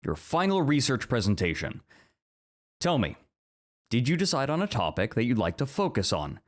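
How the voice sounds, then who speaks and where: A man playing a bookish, earnest, easily flustered professor in an ASMR roleplay, with a measured, articulate and slightly stuffy way of talking. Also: medium pitch, wildly swooping pitch. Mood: encouraging, attentive.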